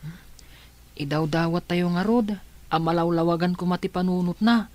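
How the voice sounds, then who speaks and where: An actress playing a worried younger sister in radio drama style. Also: female voice, on the low side, steady tone, normal speed, heavy background noise, lightly acted. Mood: worried, questioning. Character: worried younger sibling of the adopted daughter. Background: loud dramatic music.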